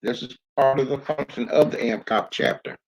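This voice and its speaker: An older man giving an unscripted public address, reminiscing in a halting, deliberate way with frequent hesitations. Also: mid-range voice, low-quality recording. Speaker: community speaker recounting his experience as a pioneer.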